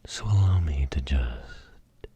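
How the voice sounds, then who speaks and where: A man playing a grumpy, wise fortune teller in an ASMR role play, whispering in a slow, theatrical, formal way. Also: a bass voice, animated, dark tone, slightly rough, highs cut off, full character voice. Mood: solemn, soothing. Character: grumpy wise male arcade fortune teller, Great Tingdinee. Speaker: ASMR performer.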